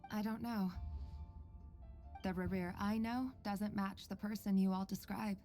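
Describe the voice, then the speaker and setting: Actress as a mellow, composed female researcher. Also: mid-range voice, steady tone, faint music behind, lightly acted. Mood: gentle, guarded. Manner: measured, articulate, formal. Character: earnest, composed female researcher, quietly secretive and caring. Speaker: voice actor in a game story quest. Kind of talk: scripted game dialogue and log narration.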